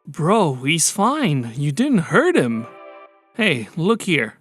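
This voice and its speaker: Woman playing a easygoing skeleton brother, low-pitched, swooping intonation, protective, casual, comic dub, music behind. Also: sing-song, slow, exaggerated voice. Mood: reassuring. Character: laid-back, protective male skeleton brother. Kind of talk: amateur comic dub voice acting. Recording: music bed under the voice.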